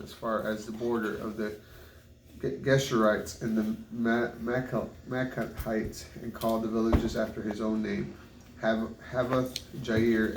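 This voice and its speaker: A man reads scripture aloud, stumbling and correcting himself on unfamiliar names. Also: lowish voice. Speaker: Bible reader. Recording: echoey room, distant mic, faint noise.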